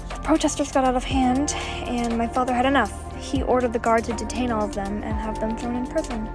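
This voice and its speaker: A haughty young female ice fairy, acted by a woman in a roleplay drama. The voice sits fairly high, with a guarded, wistful, storytelling delivery. Music plays clearly underneath. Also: close to an everyday voice.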